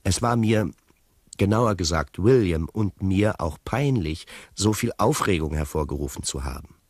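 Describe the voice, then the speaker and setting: Radio drama narrator: wry, formal tiger, low, husky, close and dry. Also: male voice, lively intonation, no room sound. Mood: wry, composed. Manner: measured, ironic, formal. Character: wry, fastidious male tiger recounting his escape.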